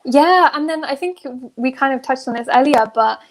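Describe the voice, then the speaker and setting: A woman's voice: sits high, expressive, normal speed. A young woman in a casual, candid group chat, speaking frankly in a giggly, rambling way as a co-host. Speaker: podcast co-host.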